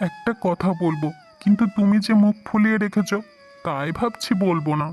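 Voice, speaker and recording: A man's voice: high-pitched, normal speed, darkish tone, lightly acted. Earnest young husband played by a man, music behind. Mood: joyful, tender. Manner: earnest, pleading, repeats phrases. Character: devoted young husband and son-in-law, earnest, emotional. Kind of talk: melodramatic cartoon voice acting.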